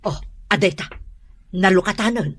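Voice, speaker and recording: A woman playing a nosy, excitable middle-aged aunt in a radio drama, exclamatory, with an Ilocano Filipino accent. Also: medium pitch, normal speed, slight background noise, lightly acted. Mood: intent. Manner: exclamatory, emphatic. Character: nosy, excitable meddling aunt, a computer-savvy teacher.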